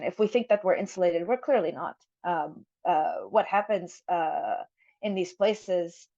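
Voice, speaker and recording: A woman's voice: fairly low pitch, a little mellow, dry recording, muffled recording. A woman from Jerusalem speaking on a forum panel over a video call, thoughtful, with frequent fillers and restarts.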